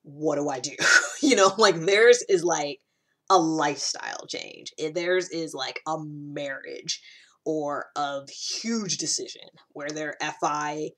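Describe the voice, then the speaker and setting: Female vlogger, slightly low voice, informal and self-reflective, loose rambling delivery. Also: slow pace. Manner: rambling and conversational, frequent "like". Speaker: video host. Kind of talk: casual personal vlog about personality typing.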